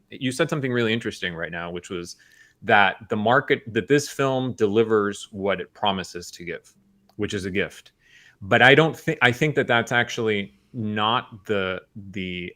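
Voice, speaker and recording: A man's voice: on the low side, pushed and bright. An American man on a film talk show, reasoning analytically through his points in casual conversation.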